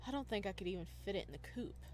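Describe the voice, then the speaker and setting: A woman's voice: medium pitch, expressive, fast, darkish tone, close to an everyday voice. A woman voicing an earnest, indecisive young man in a visual novel, speaking casually and hesitantly. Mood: thoughtful.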